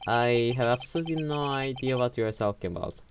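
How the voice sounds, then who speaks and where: A young woman voicing a weary young male lawyer in a fan game voice-over, speaking in a dry, deadpan way. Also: a bass voice, fast, background noise. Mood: glum.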